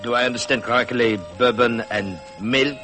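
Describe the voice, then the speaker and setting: An actor's hesitant French-accented bartender. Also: male voice, mid-range voice, steady tone, moderate pace, natural voice. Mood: puzzled. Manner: hesitant, courteous. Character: polite, puzzled French-speaking New Orleans bartender. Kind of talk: 1950s American radio drama acting. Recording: vintage radio recording with music.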